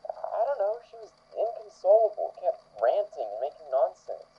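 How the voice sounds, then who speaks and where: A man playing a shaken, defensive witness on a taped police interview in an audio drama, speaking haltingly. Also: sits high, sing-song, husky, exaggerated voice. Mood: defensive, distressed. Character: defensive, shaken witness recalling a missing friend. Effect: old taped interview, radio-like filter. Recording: tinny, narrow phone-like taped case log.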